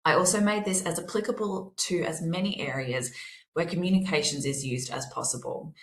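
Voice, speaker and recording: A woman with a slightly hoarse voice, presenting a workplace seminar in a measured, explanatory way. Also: deep voice, reverberant. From Australia.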